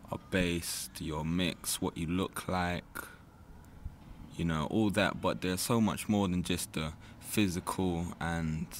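A young English man talks in an unhurried, reflective way about his family. His voice sits very low for his age. A light hiss sits under the recording. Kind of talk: casual documentary interview.

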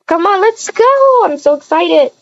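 A woman's voice: very high-pitched, expressive, measured pace, slight background noise, voice pushed up, heavily acted. A woman playing a squealing, bubbly young woman. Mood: eager. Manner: gushing, squealing, pleading. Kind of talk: voiced game roleplay. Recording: dull lo-fi mic.

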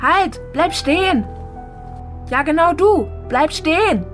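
A woman's voice: high voice, animated, takes it slowly, faint music behind. A woman playing a determined young girl in an audio drama, insistent and challenging. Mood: demanding. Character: determined young girl searching for her sister.

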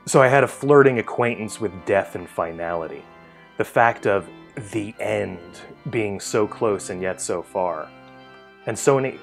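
Middle-aged American man, low-pitched voice, scripted personal storytelling, guitar music underneath. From New Jersey, United States. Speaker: author telling a personal essay. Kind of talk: scripted first-person narration for an audio horror docuseries. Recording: music bed behind the voice.

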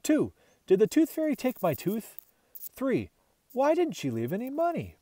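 A man's voice: very high voice, huge pitch swings, moderate pace, mellow, no echo, voice pushed up, exaggerated voice. A man voicing an earnest, methodical young girl detective in a children's storybook. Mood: puzzling it out. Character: young girl, dog's owner, orderly amateur detective. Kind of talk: children's storybook character dialogue.

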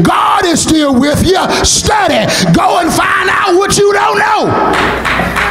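Baptist preacher guy yelling his sermon slow and gravelly, repeats lines, echoey church mic. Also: extremely high pitch, noisy background. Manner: emphatic, repetitive, call-and-response preaching. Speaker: Baptist pastor. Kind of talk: fervent sermon to a congregation. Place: church sanctuary, at the pulpit. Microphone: handheld microphone.

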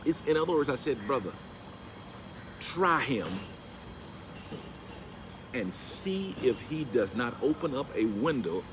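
A middle-aged man telling a story to his phone camera outdoors by a pool, slipping into animated preaching as he quotes scripture. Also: mid-range voice, takes it slowly, long pauses. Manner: animated, rising into emphatic preaching when quoting scripture. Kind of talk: casual storytelling vlog with preaching. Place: outdoors beside a pool at a house. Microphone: phone camera at arm's length. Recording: outdoor recording with steady background noise.

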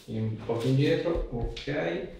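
A man in his thirties with a creaky, gravelly voice works as an osteopath, giving short instructions to a patient. He is recorded at some distance in a very echoey treatment room, with some background noise. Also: medium pitch, a little slow. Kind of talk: clinical instructions and casual chat with patient. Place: small indoor clinic treatment room. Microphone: camera a few steps away.

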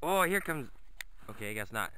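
Man calling his dogs in a bright voice. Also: medium pitch, big pitch swings, moderate pace, a little hiss or hum, poor audio. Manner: sing-song coaxing, calling out to pets. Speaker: vlogger walking his dogs. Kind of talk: casual talk to his dogs while filming. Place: snowy woods outdoors.

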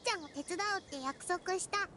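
A woman voicing an excitable, cheerful little girl mage in a Japanese anime game, bubbly and childlike. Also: extremely high pitch, brisk, noisy background. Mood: proud, insistent. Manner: bubbly, childlike, speaks of herself by name. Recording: music behind the voice.

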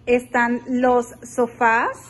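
A woman's voice: fairly high pitch, completely flat delivery, extremely slow, background noise. A woman vlogger giving a chatty, enthusiastic house tour of a beach rental, filming with her phone as she walks. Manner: chatty, enthusiastic, addresses viewers as girls. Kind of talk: casual house-tour vlog. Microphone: phone held while walking.

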